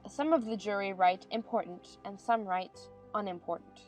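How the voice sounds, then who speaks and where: Woman narrating over soft music. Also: medium pitch, moderate pace. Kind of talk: scripted audiobook narration of stage directions.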